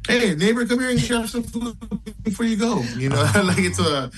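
A man's voice: high-pitched, expressive, normal speed, slight background noise. A man from Hawaii, chatting easily as a musician guest over a video call. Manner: laid-back, easygoing, lots of fillers. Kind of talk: casual interview chat.

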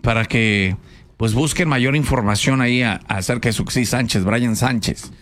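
A man's voice: medium pitch, moderate pace, noisy background. A man with a Mexican accent hosting a casual radio chat, slangy and prone to restarts. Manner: chatty, slangy, trails off and restarts. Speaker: radio host.

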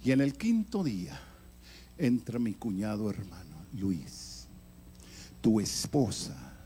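An older man, an evangelist, telling his own story in a sermon, swinging from loud emphatic bursts to hushed near-whispers. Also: on the low side, big pitch swings, slow pace, slight background noise. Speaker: evangelist preacher. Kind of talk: sermon testimony.

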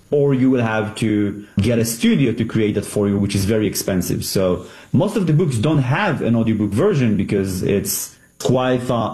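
A man's voice: on the low side, mellow, roomy sound, poor audio. A man with an Israeli accent answering interview questions as a startup founder, often restarting with fillers. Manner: halting, many restarts and fillers.

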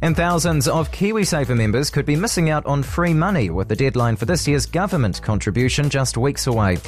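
A man's voice: fairly high pitch, expressive, brisk, faint music behind. A man with a New Zealand accent reading a scripted radio news bulletin. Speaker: radio newsreader.

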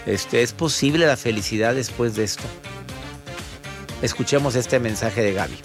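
A man's voice: mid-range voice, normal speed, music in the background. A man hosting a radio show, chatting playfully, teasing listeners and reading a sponsor message. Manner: jokey, teasing, sings bits.

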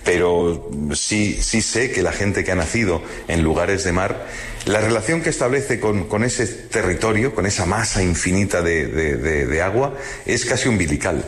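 Madrid guy w deep low voice, talking books and musing all poetic on a radio chat, repeats words for emphasis. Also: normal speed. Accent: Madrid Spanish. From Madrid, Spain. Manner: lyrical, literary, emphatic musing with repetitions. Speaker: guest commentator on literature. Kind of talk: reflective radio conversation.

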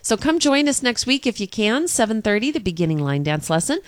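A woman radio DJ with a hoarse, stuffed-up, nasal voice, chatting casually and cheerfully between songs. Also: fairly high pitch, big pitch swings, a little fast, no echo, clean studio sound. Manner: chatty and upbeat.

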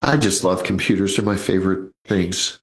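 A man's voice: low voice, steady tone, moderate pace, echoey room. Chatty man in his sixties on radio. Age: 60s. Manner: chatty, jokey, addresses listeners as folks. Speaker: radio host, financial advisor. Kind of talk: live radio talk.

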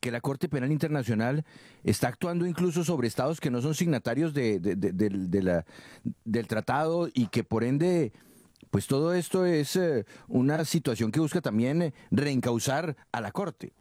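A man's voice: medium pitch, animated, moderate pace, darkish tone, dry recording, studio quality. A man, an interviewer putting a long formal question, somewhat hesitant as he feels for words.

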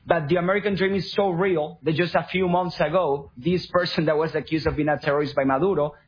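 A man's voice: a little high, fairly even intonation, quick pace, slightly rough. A man from Venezuela, a guest on a radio talk show, telling his personal story earnestly. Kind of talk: radio interview. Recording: dull lo-fi radio sound.

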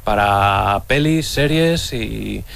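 A man's voice: fairly low pitch, animated, drawn-out words, vocal fry, a bit bright. A man from Bilbao who is a studio guest, talking casually and drily. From Bilbao, Spain. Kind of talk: casual chat.

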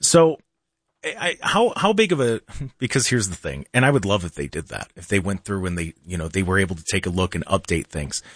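A man's voice: low voice, big pitch swings, a little fast, no room sound. A man hosting a talk radio show in a studio, chatty, laughing often and restarting his sentences. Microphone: studio microphone.

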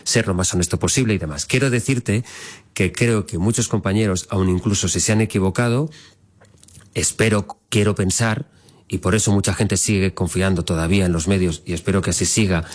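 A middle-aged man, a native Catalan speaker, hosts a podcast in a low-pitched voice. He talks conversationally but earnestly, pausing to search for the precise word in a measured, thoughtful manner. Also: steady tone. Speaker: podcast host and radio journalist.

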